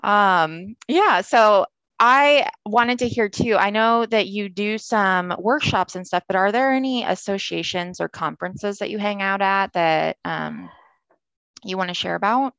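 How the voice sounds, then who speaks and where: A woman's voice: mid-range voice, measured pace, fairly bright tone, clear, resonant tone, dry recording, rough recording. A woman hosting a podcast interview, affirming and encouraging as she asks questions over a remote call. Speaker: podcast host. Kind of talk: casual interview chat. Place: home in Texas. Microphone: remote video call.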